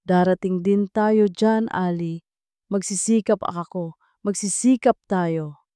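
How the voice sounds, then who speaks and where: A woman voicing a devoted, gentle, hardworking male janitor in an audio love story. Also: lowish voice, steady tone, slow, clear, resonant tone, dry recording, studio quality. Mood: tender.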